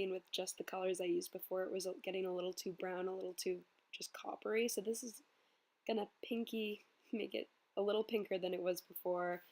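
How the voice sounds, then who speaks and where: A young woman with a slightly hoarse voice, narrating a makeup tutorial in a relaxed, chatty way. She fills her pauses with ums as she talks through each step. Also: mid-range voice. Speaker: beauty vlogger.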